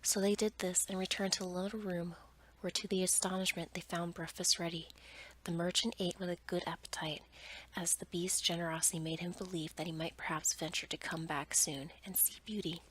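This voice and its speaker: A woman storyteller reading a fairy tale as ASMR in a soft, breathy whisper. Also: lowish voice, flat delivery, brisk, a little hiss or hum, poor audio.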